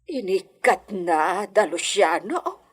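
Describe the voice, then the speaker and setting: An actress playing a worried, gentle middle-aged mother in an Ilocano radio drama, with a husky voice. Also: medium pitch, very animated, slow pace, heavily acted. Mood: anxious, caring.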